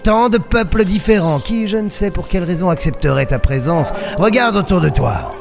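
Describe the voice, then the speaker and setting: A man plays a sly alien crime boss who runs his own space liner, mocking and theatrical. His pitch swings widely over a creaky, gravelly edge. Music plays behind him, in the manner of a science fiction audio drama. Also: lively intonation. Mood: mocking, menacing. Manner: mocking, theatrical, with drawn-out swooping phrases.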